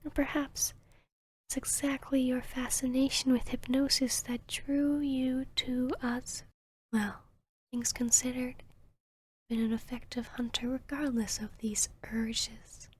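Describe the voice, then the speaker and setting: Breathy, slow-whispering arrogant vampiress played by a woman. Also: high voice, level tone, exaggerated voice, low-quality recording. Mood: probing, smug. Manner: slow, smug, teasing, deliberate. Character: arrogant, seductive vampiress hypnotist posing as therapist. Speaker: ASMR roleplay voice actor. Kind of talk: whispered ASMR roleplay.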